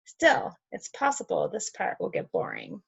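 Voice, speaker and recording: A woman reading a picture book aloud to young children, expressive and encouraging, with conversational asides. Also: lowish voice, normal speed, vocal fry, slightly rough. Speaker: read-aloud host for children.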